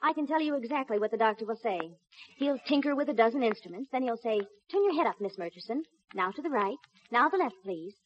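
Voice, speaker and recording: Actress as a gentle, high-voiced young woman. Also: expressive, fast talker, lightly acted. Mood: wistful. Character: gentle young woman, wistful, patiently hopeful. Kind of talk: 1950s radio drama acting. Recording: dull distant 1950s radio, faint noise.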